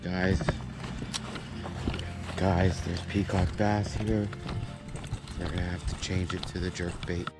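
Young dude hyping up his fishing trip, deepish voice, outdoor action cam audio with music in the background. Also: little pitch movement, slow, pauses often. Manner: upbeat, keeps calling out to viewers. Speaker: fishing vlogger, host. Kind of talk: casual running commentary while fishing. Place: outdoors on a grassy canal bank. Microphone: wide-angle action camera on his body. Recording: music playing under the voice.

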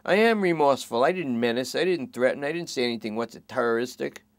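A whining, rambling junkie thief under arrest, as a man reads him aloud, pitched well above the reader's usual voice. He pleads fast and nervously and keeps talking over himself. The sound is dry and close, with no room around it. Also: lively intonation, no room sound, lightly acted. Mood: pleading. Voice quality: pleading, rambling and self-pitying. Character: whiny petty-thief junkie man in custody.

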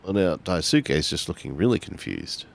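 A man playing a tabletop game, improvising his character's actions haltingly and repeating words as he goes. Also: sits low, animated, gravelly. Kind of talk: improvised third-person roleplay narration.

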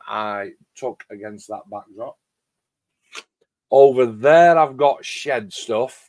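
A middle-aged man with a loud voice, chatting casually and in a rambling way as host of a hobby livestream. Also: mid-range voice, no echo. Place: home garage workshop shed.

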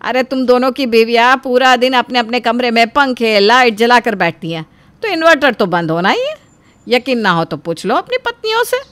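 A woman voicing a scolding, sarcastic elderly mother-in-law in an animated family story, with a nagging, taunting manner. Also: on the high side, moderate pace, no echo.

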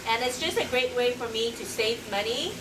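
A woman's voice: fairly high pitch, moderate pace, roomy sound, distant mic, background noise. A woman chatting casually as she narrates her travels, rambling with fillers and restarts. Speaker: travel vlogger.

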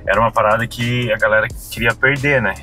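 Bright-voiced Brazilian man chatting in a car. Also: mid-range voice, fast, faint music behind. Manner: relaxed, slangy, animated storytelling. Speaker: podcast guest. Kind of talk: casual chat. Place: parked car interior. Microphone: fixed dashboard camera.

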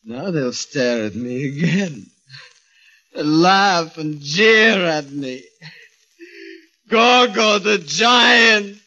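An actor playing a tormented, desperate circus freak turned giant in a melodramatic old-time radio drama. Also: male voice, on the high side, lively intonation, extremely slow, pauses often, pushed and bright, heavily acted. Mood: bitter hysteria. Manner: melodramatic, emphatic. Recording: dull old radio recording, music behind.

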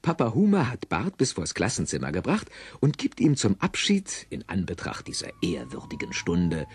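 A man narrating an audio play with expressive storytelling and a husky voice. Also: lowish voice, normal speed.

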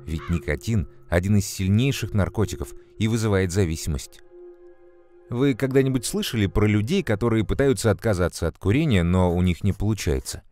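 A man playing a calm, knowledgeable mentor who explains things to children in an educational cartoon, measured and clearly enunciated. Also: lowish voice, close to an everyday voice. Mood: engaged. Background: music.

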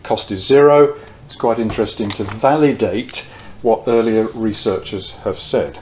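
A man's voice: on the low side, darkish tone. Older man lecturing over background noise. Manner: measured, explanatory, pausing mid-phrase. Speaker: presenter of technical talks on loudspeakers. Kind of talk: informal whiteboard lecture, reading quotes from notes. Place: indoor room at a whiteboard.

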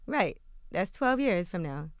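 A woman's voice: on the low side, big pitch swings, a little slow, a crowd in the background. A woman co-hosting a radio show, chatting casually with guests at a close studio mic. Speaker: radio co-host. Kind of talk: casual interview chat. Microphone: close broadcast mic on arm.

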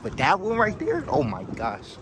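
A young man hosting his own vlog outdoors on a city pier, talking in excitable, slangy casual chat and laughing often. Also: high voice, moderate pace. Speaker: vlogger host. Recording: noisy outdoor city street.

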